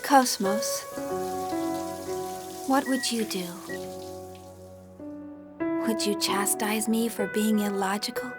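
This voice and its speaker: A woman's voice: mid-range voice, steady tone, slow, long pauses, loud music behind the voice, lightly acted. An actress playing an earnest young female scientist in an English-language video game cutscene. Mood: solemn, brooding.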